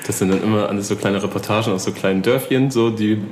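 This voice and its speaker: A man's voice: fairly low pitch, fairly even intonation, fast, a little mellow, a little hiss or hum. A man hosting a casual interview, chatty and easygoing. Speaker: interviewer, host.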